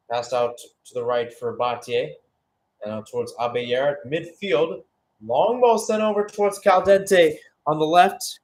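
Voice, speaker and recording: A man giving live radio-style football commentary as the play-by-play caller, conversational and thinking aloud between bursts of calling the action. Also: mid-range voice.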